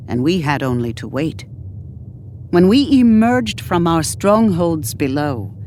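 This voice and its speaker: Woman voicing a slow, weary old female historian. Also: lowish voice, a little mellow, slight background noise. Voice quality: a little cracked and weary. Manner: measured, oratorical storytelling. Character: aged woman historian, cheerful, mischievous, quietly authoritative.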